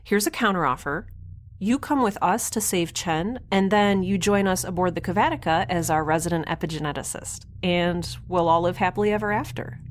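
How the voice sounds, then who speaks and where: A woman's voice: on the low side, brisk, slight background noise, close to an everyday voice. A woman playing a chirpy, friendly young scientist adventurer in a sci-fi audio drama, chatty, eager and quirky in delivery. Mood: bright, bargaining. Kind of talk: natural audio drama acting.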